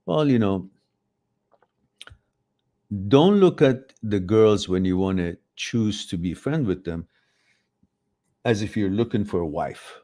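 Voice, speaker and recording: A man's voice: fairly low pitch, animated, slow, long pauses, dark tone. A middle-aged man, a Farsi speaker, hosting a live advice stream and reading out viewer questions, rambling and often correcting himself. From Iran. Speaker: host.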